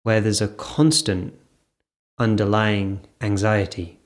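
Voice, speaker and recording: A man leading an off-the-cuff guided meditation, speaking in short phrases with gentle pauses between them. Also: fairly low pitch, little pitch movement.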